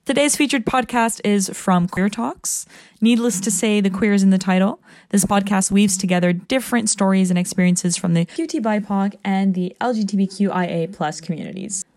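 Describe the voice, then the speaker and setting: A woman host opens a podcast showcase with an enthusiastic scripted introduction. Her voice sits in a middle pitch and stays upbeat throughout. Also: moderate pace, a little mellow.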